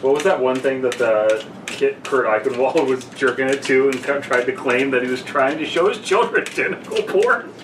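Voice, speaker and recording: A young man hosting a podcast in casual banter, crude and jokey, telling stories excitably. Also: medium pitch, normal speed, few pauses. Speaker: podcast host. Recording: roomy, distant mic, clear background noise.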